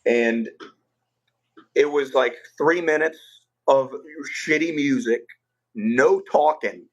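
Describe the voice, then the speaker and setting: A young man hosts a livestream in a loose, rambling way, full of filler words. His voice sounds a little rough and hoarse, and the audio is slightly muffled. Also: mid-range voice, slow. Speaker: livestream host. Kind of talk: casual livestream chat.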